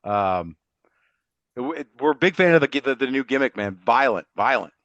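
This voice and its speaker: A man hosts a casual sports podcast in a conversational style. His voice sits at a middling pitch, recorded dry and close but slightly dull and lo-fi. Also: lively intonation, moderate pace, a bit bright, dry recording. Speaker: podcast co-host.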